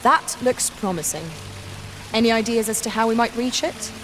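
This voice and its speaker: A woman's voice: on the high side, moderate pace, fairly bright tone, slight background noise, lightly acted. A woman playing an alert adventuring companion in a fantasy video game. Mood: curious, eager.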